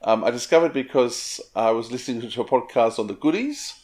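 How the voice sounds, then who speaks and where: A man's voice: medium pitch, brisk, a little mellow. A man, co-hosting a podcast in casual conversation. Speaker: podcast co-host. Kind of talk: casual chat.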